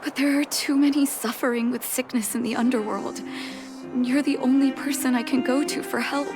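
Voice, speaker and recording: A woman's voice: high-pitched, steady tone, fairly quick, music in the background. A woman voicing a caring, composed young doctor in a video game, earnest and polite. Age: young adult. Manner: soft-hearted, earnest, polite.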